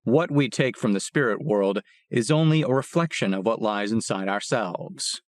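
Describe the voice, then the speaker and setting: A man voicing a calm, feral, mystical street man who speaks slowly and gravely. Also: fairly low pitch, dry recording, clean studio sound, close to an everyday voice. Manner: slow, grave, measured.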